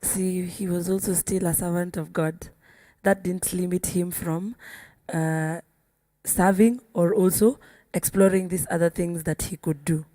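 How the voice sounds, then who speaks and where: A woman's voice: lowish voice, steady tone, unhurried, mellow, no echo. A Kenyan woman speaking as a singer on a panel, sharing her view in casual discussion.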